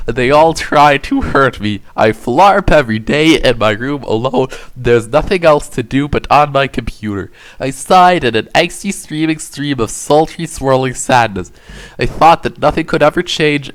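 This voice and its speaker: A man narrating an amateur dramatic reading of fan fiction, delivering overwrought prose in a mock-serious way. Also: mid-range voice.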